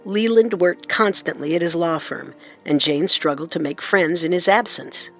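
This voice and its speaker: A man narrating a true-crime radio drama in a measured, dramatic scripted style. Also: on the high side, faint music behind.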